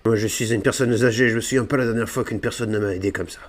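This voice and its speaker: A man's voice: lowish voice, fairly even intonation, rapid-fire. A man improvising game roleplay and chatting casually, playfully hamming it up with slang. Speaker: gaming video creator playing online roleplay.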